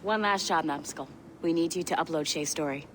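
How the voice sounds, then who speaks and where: A woman playing a brisk, teasing colleague running a mission in a video game. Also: low voice, heavy reverb, big-room sound, slight background noise, lightly acted.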